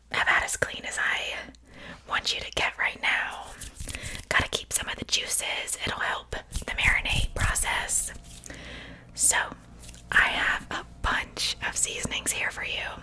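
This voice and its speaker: A sweetly menacing witch, played by a woman in an ASMR roleplay. She speaks entirely in a whisper, unhurried and soothing. Her reassurance is false, the coaxing of a captor who plans to cook her guest. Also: sharp tone, rough recording.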